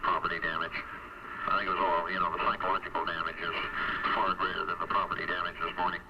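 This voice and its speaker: A man with a fairly low voice gives an unscripted radio news soundbite. He speaks haltingly as an emergency official. The sound is dull and swamped in heavy noise. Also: flat delivery, creaky. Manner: halting, with repeated hesitations.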